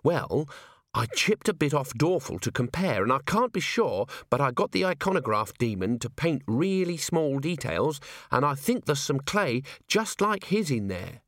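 A man's voice: on the high side, sing-song, moderate pace, fairly bright tone, dry recording, studio quality, voice pushed up. A man doing an eager nervous young dwarf woman. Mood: earnest. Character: young earnest female dwarf forensics recruit.

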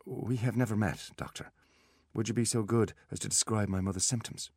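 A man's voice: fairly low pitch, fairly even intonation, slightly rough, dry recording, studio quality, pitched above the performer's own voice. A man acting out a precocious, formal boy. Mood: formal, composed. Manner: formal, measured, gentle. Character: precocious, polite, self-possessed boy, anxious about his mother.

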